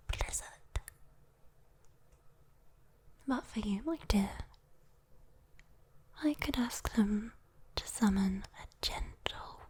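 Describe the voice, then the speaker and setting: A British woman playing a sweet, teasing, possessive female demon in a breathy, intimate ASMR roleplay whisper. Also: a little high, a little mellow. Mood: soothing, playful.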